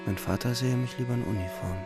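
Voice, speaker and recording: An actor playing a war-weary young soldier's inner voice in a radio drama, introspective and gravelly, over music. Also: male voice, medium pitch, fast, close to an everyday voice. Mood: brooding. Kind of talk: literary radio drama monologue.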